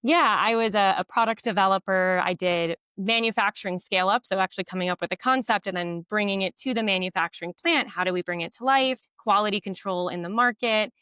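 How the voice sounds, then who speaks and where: A woman with a low voice, a guest on a podcast interview, explaining fluently and often using fillers. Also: a little fast, few pauses, bright, forceful tone, clear, resonant tone, no room sound.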